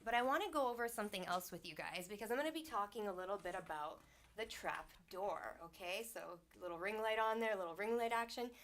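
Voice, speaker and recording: A woman hosting a livestreamed spiritual talk from her small home studio. She speaks in a soft, soothing, unhurried way and sounds slightly congested from a cold. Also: mid-range voice, animated. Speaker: host and sound healer.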